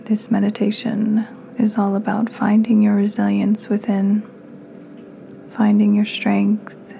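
Soft breathy woman doing guided meditation, real slow and mellow, bit of noise behind. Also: medium pitch, poor audio. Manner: calm, soothing, unhurried pauses. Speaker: podcast host, meditation guide.

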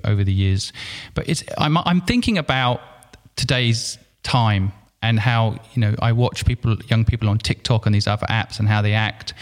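A man hosting a casual interview, talking conversationally and restarting phrases. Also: medium pitch. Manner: conversational, repeats words when starting. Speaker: host.

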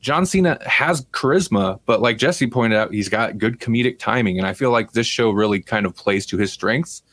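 A man's voice: fairly low pitch, moderate pace, hardly any pauses, a bit bright, no echo. A man co-hosting a podcast, chatting casually about pop culture. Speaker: podcast co-host.

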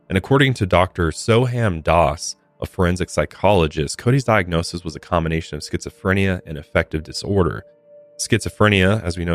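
A man's voice: low voice, no echo. A man hosting a podcast, narrating a true crime case in a partly scripted style. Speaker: podcast host.

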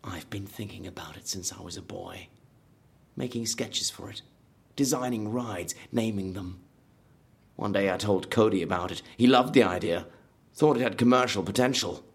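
Concepteur d'attractions morbide, voix grave et voilée. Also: male voice, fairly low pitch, normal speed, creaky, husky. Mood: proud, reminiscing. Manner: animated, relishing gruesome details, dramatic emphasis. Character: brash, morbidly enthusiastic male designer of a dangerous theme park. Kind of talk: audio drama dialogue.

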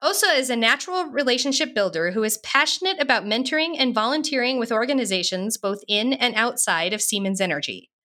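A woman's voice: fairly high pitch, lively intonation, brisk, few pauses, bright, forceful tone. A woman hosting an interview podcast, reading a guest's introduction and asking upbeat questions. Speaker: podcast host.

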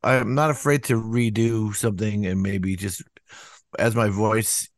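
A man musician talking casually in an interview, rambling with lots of "you know" fillers and acting out quotes in a higher voice. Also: fairly even intonation, measured pace, no echo, clean studio sound. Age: older. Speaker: musician guest.

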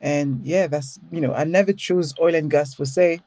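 A middle-aged woman with a French accent speaks as a guest on a podcast, animated and quick to laugh. Her voice has a mellow, dark tone. It sounds dry and close to the mic. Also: deep voice, no room sound. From France. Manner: animated, laughs often, frequent restarts. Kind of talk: casual storytelling interview.

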